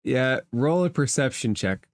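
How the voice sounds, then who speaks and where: A man's voice: mid-range voice, expressive, measured pace, no room sound, studio quality. A man acting as game master, improvising narration for a tabletop roleplaying game.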